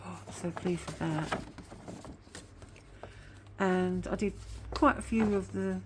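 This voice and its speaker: A woman's voice: fairly low pitch, takes it slowly, a little hiss or hum. A woman chatting casually as a craft vlogger, rambling on with a slightly whiny voice because of a sore tooth. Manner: chatty, rambling, admits to waffling. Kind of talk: casual craft show-and-tell chat.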